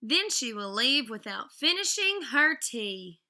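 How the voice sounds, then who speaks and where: A woman voicing a worrying, skeptical mother in a children's storybook, wry and gently exasperated. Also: a little high, sing-song, a little slow, voice pushed up, exaggerated voice. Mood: worried. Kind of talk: children's storybook character reading.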